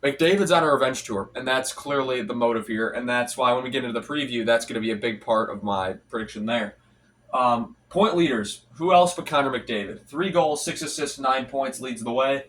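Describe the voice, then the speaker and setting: A man's voice: medium pitch, normal speed, a bit bright, distant mic, a little hiss or hum. A young man with a congested, stuffy voice hosting a casual solo hockey recap, rattling off stats with frequent restarts and fillers. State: congested from allergies. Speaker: hockey commentary channel host.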